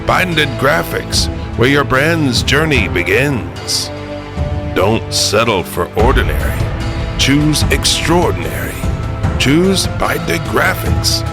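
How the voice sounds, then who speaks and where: A man's voice: medium pitch, very animated, takes it slowly, music in the background, pitched above the performer's own voice. A man voicing a dramatic, portentous movie-trailer announcer in an epic advertisement. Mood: grandiose, promotional. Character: grandiose movie-trailer announcer pitching a graphic design business. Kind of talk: epic trailer-style ad voice-over.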